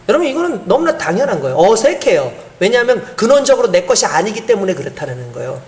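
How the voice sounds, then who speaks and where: A male pastor delivering an earnest, emphatic sermon, asking the congregation rhetorical questions and chuckling now and then. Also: extremely high pitch.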